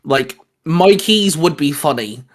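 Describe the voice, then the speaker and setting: A man's voice: on the high side, lively intonation, takes it slowly. A man hosting a casual geek discussion, speaking informally and emphatically and repeating himself to stress a point. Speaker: host of a hobby video channel.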